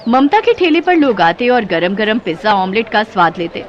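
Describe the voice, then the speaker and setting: A woman's voice: a little high, very animated, moderate pace, highs cut off, slight background noise. A woman delivering scripted moral-story narration as the narrator.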